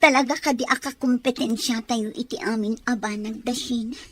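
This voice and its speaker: A woman's voice: a little high, steady tone, fairly quick, a little hiss or hum, lightly acted. A woman acting a wounded, bitter young daughter jilted by her lover in an Ilocano radio drama. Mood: hurt, bitter.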